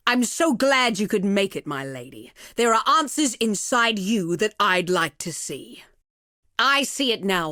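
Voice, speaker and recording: A woman's voice: medium pitch, fairly bright tone, dry recording, studio quality. An actress playing a cruel, haughty female villain in a video game, with a silky, mocking and condescending manner.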